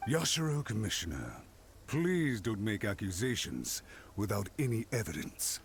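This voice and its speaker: Snooty scheming official in an anime game, super expressive, pompous and touchy, bit of noise behind. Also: male voice, fairly low pitch, normal speed. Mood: affronted. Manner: formal, pompous, emphatic. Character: haughty, scheming, defensive clan official, easily indignant. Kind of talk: anime-style video game voice acting.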